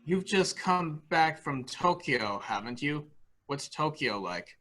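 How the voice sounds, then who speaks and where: Man playing a bright-voiced, guileless, excitable fellow. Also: a little high, slow pace, full character voice. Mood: curious, eager. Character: excitable, guileless male household member blurting things out. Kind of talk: amateur dramatic reading of a murder mystery.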